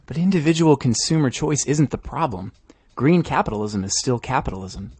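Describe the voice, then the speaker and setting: A man's voice: mid-range voice, fairly quick, darkish tone, breathy edge, no echo. A man reading scripted narration as a podcast host.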